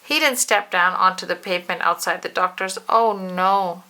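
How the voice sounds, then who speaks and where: A woman reading a children's storybook aloud in an animated way, stretching words for emphasis and addressing the listener. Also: on the low side, normal speed. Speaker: storybook reader. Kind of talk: expressive children's read-aloud narration.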